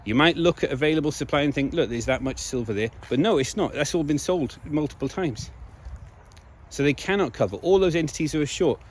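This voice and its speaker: A slightly hoarse man speaking in a casual, emphatic monologue to viewers, repeating key phrases for stress. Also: fairly high pitch, brisk, a little hiss or hum. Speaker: video blogger.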